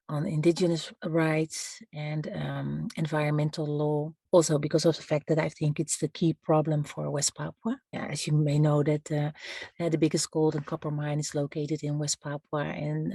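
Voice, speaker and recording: A woman, a human rights lawyer answering interview questions in a measured, thoughtful way with frequent hesitations. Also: deep voice, vocal fry, dark tone, no echo. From Netherlands. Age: 50s.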